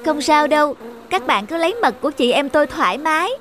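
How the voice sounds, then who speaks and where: A woman voicing a generous, welcoming female peach blossom tree in a children's cartoon, with a gentle manner. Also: high voice, lively intonation, fast, noisy background.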